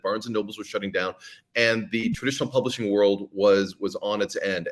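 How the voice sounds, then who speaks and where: A man's voice: on the low side, fairly bright tone. A man, a podcast guest, talking in a casual interview with many fillers and restarts.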